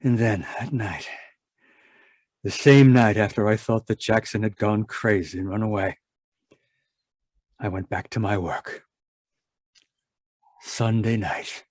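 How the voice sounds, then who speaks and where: A man's voice: on the low side, takes it slowly, long pauses, darkish tone, no echo. A man playing an irritable, domineering middle-aged scientist husband in an old-time radio drama, contemptuous and blustering. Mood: brooding narration. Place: indoors, live video call performance.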